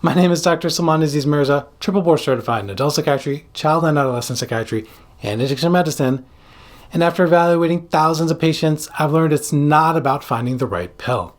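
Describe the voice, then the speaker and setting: A man's voice: a little high, fast. A man speaking as a psychiatrist and host, presenting a scripted educational explainer with emphatic, direct address to the listener.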